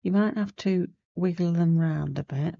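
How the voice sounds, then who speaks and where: Woman host, sniffly, chatty craft tutorial; low, dry close-miked voice. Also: normal speed, creaky, no echo, lo-fi sound. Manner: chatty, rambling, loses her thread. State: sniffly with a starting cold. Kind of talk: casual sewing tutorial chat.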